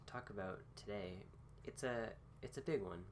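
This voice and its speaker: A man recovering from a cold gives a casual philosophy talk with many pauses, fillers and restarts. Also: fairly low pitch, slight background noise. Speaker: host.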